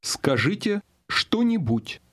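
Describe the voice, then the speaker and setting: Male course narrator, fairly high and slightly rough voice, instructional delivery for audio lessons. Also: big pitch swings, slow, fairly bright tone.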